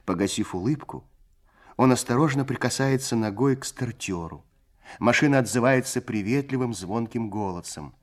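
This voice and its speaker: An actor narrating a Soviet radio play in a lyrical, literary way, with measured, expressive phrasing. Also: male voice, medium pitch, lightly acted. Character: male storyteller, lyrical and unhurried.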